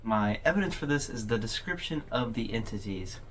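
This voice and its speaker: Man, casual commentary, conversational host, average pitch, noisy ambient recording. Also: expressive, a little fast, fairly bright tone. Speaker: host of a horror video overview channel.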